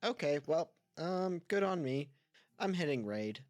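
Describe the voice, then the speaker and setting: A woman's voice: sits low, expressive, measured pace, a little mellow. A woman streamer talking expressively and with exasperation while playing a game on stream. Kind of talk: gaming livestream commentary.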